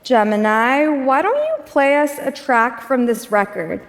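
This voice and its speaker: A woman's voice: sits high, very animated, unhurried. A woman giving a live tech demo on stage, casual and chatty with filler words. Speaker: demo presenter. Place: stage in a large auditorium. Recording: echoey hall.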